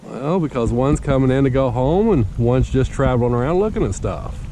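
A man's voice: medium pitch, expressive, a little fast. An older man, coaching kids on fishing in a plainspoken, folksy way, recorded outdoors on a riverside dock with a wearable action camera. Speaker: host fishing with grandkids. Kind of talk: casual instruction and chat with children. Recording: heavy wind noise outdoors.

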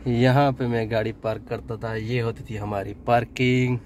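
A man narrates a casual vlog as he goes about in a car and outdoors. His voice sits at an average pitch and his talk is informal, dotted with admiring exclamations. It is recorded on a phone without a microphone, with plenty of traffic and outdoor noise. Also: flat delivery, normal speed, a little mellow. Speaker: vlogger and delivery driver. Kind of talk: casual vlog commentary on the sights. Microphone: phone held in hand, no external mic.

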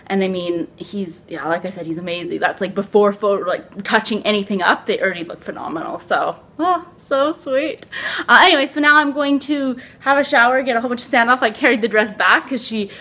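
A woman's voice: on the low side, pushed and bright. Chatty young woman rambling to her camera. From Canada. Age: young adult. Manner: rambling, upbeat, lots of "like" and "um". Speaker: travel vlogger. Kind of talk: casual chatty vlog. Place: hotel room, indoors. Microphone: phone camera held at arm's length.

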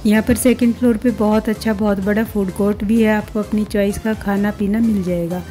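A woman's voice: medium pitch, fairly even intonation, fairly quick, dark tone, soft background music. A middle-aged woman with an Indian accent narrating a travel vlog, chatty and explanatory, speaking directly to viewers. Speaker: vlogger and channel host.

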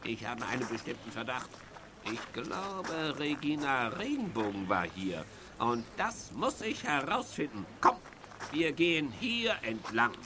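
A man's voice: mid-range voice, very animated, a little slow. A man playing a grumpy, bossy villain who hates colour, in a children's audio play. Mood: scheming. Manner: bossy, indignant, exclaiming. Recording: noisy old cassette transfer.

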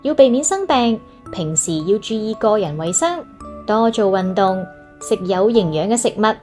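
A woman's voice: mid-range voice, lively intonation, normal speed, darkish tone, soft background music. A woman with a Hong Kong Cantonese accent reading a children's story aloud expressively, as if to young listeners.

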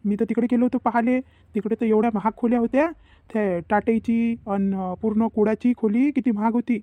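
A man's voice: high voice, warm tone, no room sound, lightly acted. A man playing an earnest, protective young husband in a cartoon family drama, with a Varhadi Marathi accent. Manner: earnest, explanatory.

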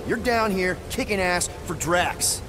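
An actor playing a young, cocky space-outlaw leader in a video game, rambling, earnest and emphatic. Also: male voice, high voice, expressive, unhurried. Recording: loud game music behind.

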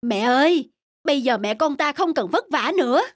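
Excitable, high-pitched young peasant lad. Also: female voice, moderate pace, dry recording. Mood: excited. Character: poor lazy young peasant son, excitable and naive. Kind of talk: animated folk-tale voice acting. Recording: background music under the voice.

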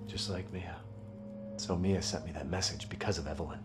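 A man's voice: sits low, steady tone, brisk, natural voice. An actor playing a young husband searching for his wife, questioning and alarmed. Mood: tense. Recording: with loud music.

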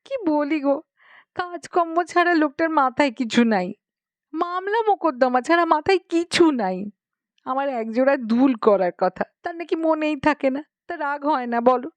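A woman's voice: high voice, expressive, moderate pace, voice pushed up. A woman voicing a tearful, aggrieved village wife in a storybook reading, plaintive and complaining in rustic colloquial speech. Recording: muffled lo-fi, roomy echo.

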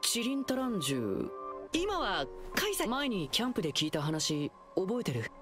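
A performer voicing a cheerful, confident young male hero in a game dub, with a lively, reassuring way of talking. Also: medium pitch, normal speed. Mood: eager. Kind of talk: Japanese game dub acting. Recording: game audio with loud music.

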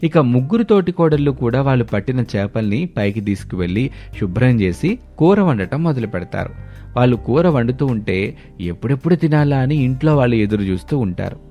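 A man narrating an animated moral tale as a scripted storyteller. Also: medium pitch, brisk, faint music behind.

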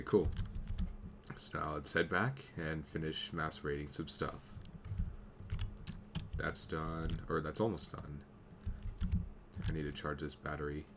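A young man with a slight cold, casually commenting on his game as he plays and thinking aloud, now and then clearing his throat. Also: rumbling low, slow, long pauses, background noise. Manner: easygoing, thinking aloud while playing. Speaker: gameplay commentator. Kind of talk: casual let's play commentary.

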